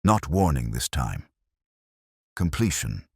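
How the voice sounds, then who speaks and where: A man's voice: extremely low pitch, big pitch swings, takes it slowly, gravelly, darkish tone, husky, dry recording, studio quality, full character voice. A man voicing a keen, commanding detective with precise, theatrical, coolly triumphant delivery in an audio story. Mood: grave.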